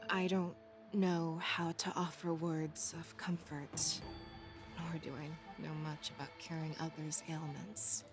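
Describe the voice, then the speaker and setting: Low-voiced, solemn woman warrior general. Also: flat delivery. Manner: solemn, formal, measured. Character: stern, resolute woman warrior general, quietly remorseful. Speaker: game character voice actor. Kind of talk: dramatic game voice acting. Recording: music behind the voice.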